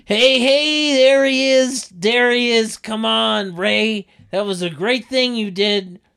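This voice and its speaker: A table reader voicing a loud, boastful older father from a sitcom, hearty and barking, in a comedic read. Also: medium pitch, slow, gravelly, clean tone, pitched above the performer's own voice. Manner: hearty, barking, emphatic repetitions.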